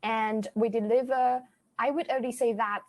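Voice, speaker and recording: A young woman from China speaking English with a Chinese accent, chatting as a student guest in a casual way, often halting and correcting herself. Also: on the high side, fairly even intonation, a little mellow. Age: young adult. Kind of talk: casual podcast conversation.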